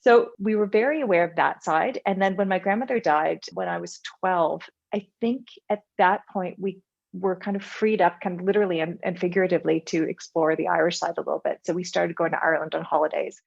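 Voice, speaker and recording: Middle-aged Canadian woman, Canadian accent, low gravelly voice, muffled lo-fi sound; reflective guest. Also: fairly even intonation, brisk. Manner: reflective, storytelling with frequent "you know". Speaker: podcast guest. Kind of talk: casual conversational interview. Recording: muffled lo-fi audio.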